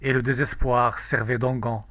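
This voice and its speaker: A man reciting a poem in a measured, declamatory, solemn way. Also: medium pitch, flat delivery, a bit bright, dry recording. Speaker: poetry reader.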